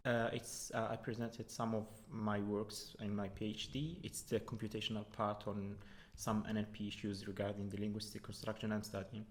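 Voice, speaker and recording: A young man with a Moroccan accent, a native Arabic speaker, explaining his research in a halting, hesitant way as an interview guest. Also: lowish voice, level tone. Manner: hesitant, halting, with repeated words. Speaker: doctoral student guest.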